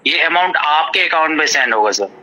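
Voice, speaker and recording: A man's voice: a little high, lively intonation, a little fast, pushed and bright. A brazen, dismissive man posing as a customer care manager in a confrontational phone call.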